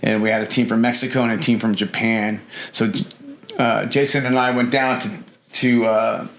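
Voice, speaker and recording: A man's voice: fairly low pitch, little pitch movement, moderate pace, fairly bright tone. A middle-aged man talking as a podcast guest in casual interview chat, rambling with lots of fillers and "you know".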